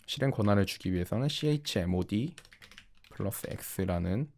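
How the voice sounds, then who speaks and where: A man narrating a software tutorial as an instructor, explaining step by step in polite, formal speech. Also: lowish voice, lively intonation, normal speed. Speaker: programming instructor. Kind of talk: tutorial narration over screen demonstration.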